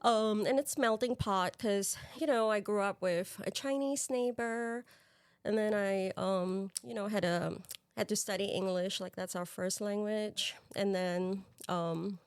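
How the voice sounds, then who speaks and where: A woman's voice: medium pitch, unhurried, clean tone, no room sound, clean studio sound. A woman from Singapore chatting casually as a guest on a podcast. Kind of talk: casual chat.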